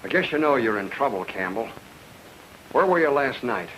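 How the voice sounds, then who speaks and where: A suspicious police chief in an old radio crime drama. His questioning is gruff and blunt, with an animated rise and fall. Faint hiss runs under the recording. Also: medium pitch, moderate pace, low-quality recording. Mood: stern, suspicious. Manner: blunt, interrogating.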